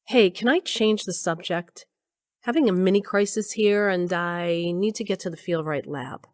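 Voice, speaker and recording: A woman's voice: lowish voice, normal speed, no echo, clean studio sound, lightly acted. An actress playing a wry, daring woman who narrates her own covert adventure in a conversational audio drama style. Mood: conspiratorial. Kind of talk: audio drama acting with first-person narration.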